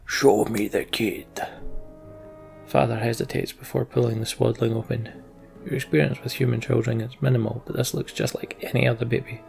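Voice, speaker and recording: A man's voice: mid-range voice, level tone, fast talker, gravelly, a little mellow, soft background music. A man hosting a let's play, commenting on a game and narrating its story.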